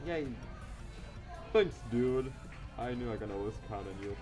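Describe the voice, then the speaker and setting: A man voicing a boastful, dim, excitable teenage boy in a visual novel, bragging and exclaiming. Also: medium pitch, unhurried, close to an everyday voice. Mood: pleased. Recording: loud music behind.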